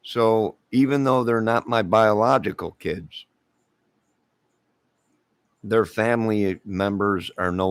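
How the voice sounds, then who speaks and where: A middle-aged man, hoarse from singing, rambling casually to viewers on a late-night livestream and greeting them one by one. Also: low-pitched, no echo. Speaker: livestream host.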